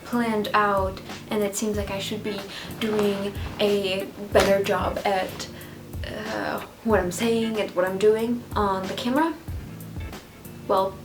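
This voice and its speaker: A woman's voice: medium pitch, level tone, takes it slowly, over music. A woman in her twenties from Poland, a native Polish speaker with a Polish accent, chatting casually and a little hesitantly to camera as a vlogger. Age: 20s. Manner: hesitant, slightly nervous. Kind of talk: casual sit-down chat to camera. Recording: roomy indoor echo.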